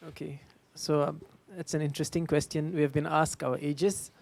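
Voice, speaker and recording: A man's voice: a little high, flat delivery, moderate pace, creaky, a little mellow. A man in his thirties with a Singaporean accent, answering questions on a panel in a hesitant way with many fillers. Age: 30s. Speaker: panel speaker.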